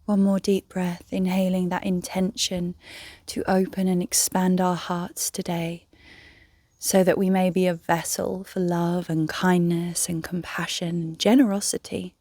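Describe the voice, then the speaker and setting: A woman leading a guided meditation in a soft, breathy, near-whispered voice, gently and soothingly, with long pauses. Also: on the low side, little pitch movement, no echo, clean studio sound. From England. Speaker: meditation guide, yoga teacher.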